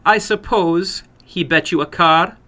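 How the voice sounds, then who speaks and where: A man's voice: on the high side, unhurried, bright, forceful tone, voice pushed up. A man voicing a small, rather old foreign woman in a dramatic story reading, apologetic, weary and emphatic. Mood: ashamed.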